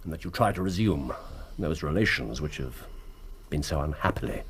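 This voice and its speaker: An actor playing a stern, shrewd Victorian gentleman detective in a radio drama, with an upper-class English accent and precise, authoritative speech. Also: very deep voice, animated, normal speed, a little hiss or hum. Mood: measured, probing.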